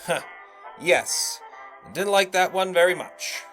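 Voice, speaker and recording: A man's voice: sits high, slow, a bit bright, soft background music, poor audio. An actor playing a pompous young radio salesman and announcer in a 1920s audio drama, full of showy old-fashioned patter. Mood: boastful.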